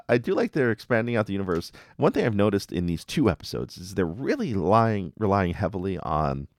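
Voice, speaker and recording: Low-voiced man recapping with wry asides. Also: no room sound, studio quality. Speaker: podcast host. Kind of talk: casual episode recap.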